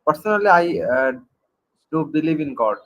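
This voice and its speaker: A man from Bangladesh calling into a live-stream debate, making a prepared case with many pauses and fillers. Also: on the high side, expressive, a bit bright, poor audio. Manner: hesitant, many fillers, reads prepared points. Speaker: caller. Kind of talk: prepared argument in a call-in debate. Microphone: computer mic on a video call.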